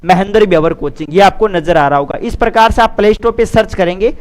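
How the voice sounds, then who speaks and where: A man's voice: sits high, fast talker. A man gives an exam lesson as an online coach. He alternates reading out answers with informal explanation. His manner is didactic, with repeated phrases and frequent checks on understanding.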